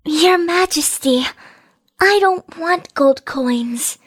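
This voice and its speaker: A woman voicing a gentle, cheerful little female nightingale in a children's fairy tale. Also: extremely high pitch, drawn-out words, full character voice. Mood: tender, humble.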